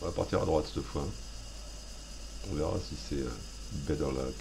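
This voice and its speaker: A man with a deep, low voice talks over a game he is playing. His commentary is rambling and self-mocking, full of playful asides. Game sounds run clearly underneath. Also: normal speed, background noise. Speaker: gaming video host. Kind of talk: joking let's play commentary.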